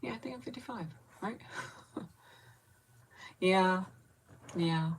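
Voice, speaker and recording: A woman's voice: mid-range voice, a little hiss or hum. Candid grieving woman in her fifties. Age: 50s. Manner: candid, rambling, with soft laughs and trailing pauses. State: grieving, close to tears. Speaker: vlogger chatting with live viewers. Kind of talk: casual live chat.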